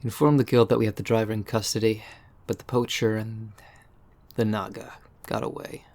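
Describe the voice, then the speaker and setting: A man's voice: fairly low pitch, flat delivery, moderate pace, creaky, darkish tone. A man playing a weary, irritable law officer in an audio roleplay, dry and exasperated. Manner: exasperated, dry, matter-of-fact.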